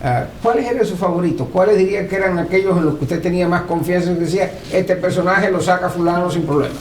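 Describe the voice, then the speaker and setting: A man asks interview questions in halting, deliberate phrases. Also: sits high. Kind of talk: oral history interview questions. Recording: roomy echo with faint background noise.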